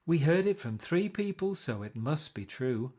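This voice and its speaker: A flighty, teasing rich young woman from a classic storybook, her voice lifted high and bubbling with gushing energy, as a male reader puts her on. She talks in swooping, lively runs that rise sharply above a man's usual register. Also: normal speed. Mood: insistent. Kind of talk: audiobook character voice.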